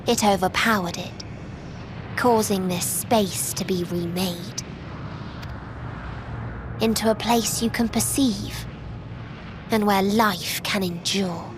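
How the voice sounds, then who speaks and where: A woman playing a cold, despairing ruler in a video game cutscene, speaking formally and deliberately. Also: mid-range voice, steady tone, background noise, lightly acted. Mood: solemn.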